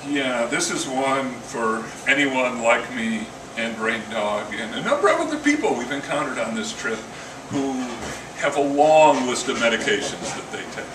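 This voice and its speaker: A man's voice: mid-range voice, expressive, moderate pace. Older man, wry poet, live reading, distant roomy sound, noisy background. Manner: wry, dry humor. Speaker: poet reading own work. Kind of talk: live poetry reading with casual introductions. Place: indoor coffee house reading. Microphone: stand microphone, recorded by camera from a distance.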